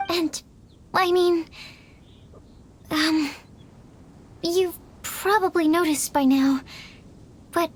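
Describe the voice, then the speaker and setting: An actress playing a shy, earnest, bookish young woman alchemist in a video game, speaking hesitantly and awkwardly. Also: very high voice. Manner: hesitant, soft-hearted, halting phrasing.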